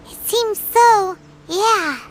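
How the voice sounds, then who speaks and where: An actress voicing a tiny, earnest, excitable fairy companion girl in a video game, with a childlike, expressive delivery. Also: very high voice, very slow, exaggerated voice. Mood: hesitant, curious. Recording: background music under the voice.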